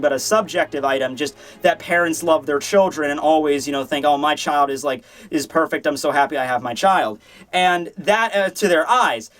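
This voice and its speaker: High-pitched man, casual reviewer. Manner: rambling, animated retelling with acted-out quotes. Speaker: manga chapter reviewer. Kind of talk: casual, profane review commentary.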